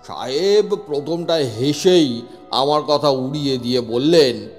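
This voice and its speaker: Slow, dramatic male horror storyteller. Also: mid-range voice. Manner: suspenseful, dramatic delivery. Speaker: narrator, telling the story as a police inspector. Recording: long reverb with music underneath. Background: music.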